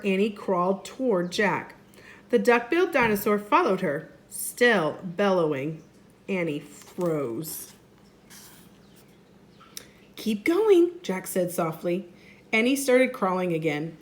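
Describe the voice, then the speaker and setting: Slow, expressive woman reading a children's story. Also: mid-range voice. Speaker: first grade teacher. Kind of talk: children's storybook read-aloud.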